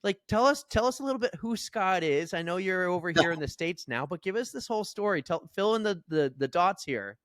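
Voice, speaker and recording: A man's voice: high-pitched, animated, brisk, few pauses, fairly bright tone, no echo, studio quality. A man hosting a podcast interview, chatty as he asks his guest questions. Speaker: podcast host.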